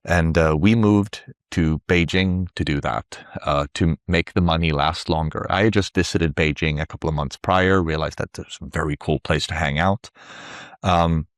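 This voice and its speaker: Middle aged swedish guy, native swedish speaker, really low voice, dry close sound, chatting thru interview answers. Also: moderate pace, no room sound. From Sweden. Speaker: interview guest, tech company founder.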